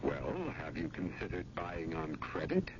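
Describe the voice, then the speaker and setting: A man's voice: deep voice, big pitch swings, a little slow. A man reading a scripted 1970s radio consumer advice spot, addressing the listener directly with emphatic explanation. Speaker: radio public service announcer. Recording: muffled radio broadcast, clear noise.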